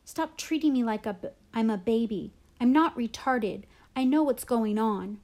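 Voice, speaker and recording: A woman's voice: fairly high pitch, normal speed, a little mellow, close to an everyday voice. Woman acting an angry, hurt young boy. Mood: furious, hurt. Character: angry, wounded young boy shouting at his family.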